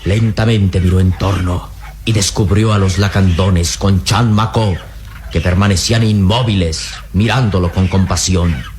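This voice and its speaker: A man's voice: sits low, fairly even intonation, a little slow, darkish tone, a little hiss or hum. A man narrating a radio drama in a dramatic scripted style.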